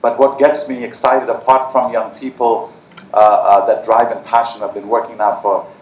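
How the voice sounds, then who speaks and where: A man's voice: mid-range voice, level tone, moderate pace, a little mellow, dull audio. Man from Nepal, echoey far-off hall. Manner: earnest, many fillers and restarts. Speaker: panelist. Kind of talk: panel talk. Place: large conference hall.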